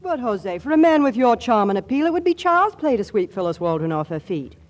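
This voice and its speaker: Snappy, sardonic office gal, persuasive, big swings of pitch, forties radio comedy. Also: female voice, very animated, brisk, lo-fi sound. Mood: coaxing. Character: brisk, wisecracking, lovelorn confidential secretary. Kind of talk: 1940s radio comedy acting.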